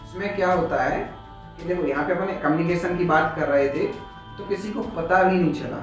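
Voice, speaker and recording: A man with an Indian accent teaching in an explanatory, motivational lecture style, slowing down deliberately on English phrases. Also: high voice, dull audio. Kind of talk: motivational lecture on communication skills. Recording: very echoey room, music behind.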